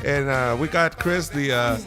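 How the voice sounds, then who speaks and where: A man's voice: medium pitch, unhurried, over music. A man hosting a casual podcast, slipping between English and Spanish. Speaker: podcast host. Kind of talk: casual chat.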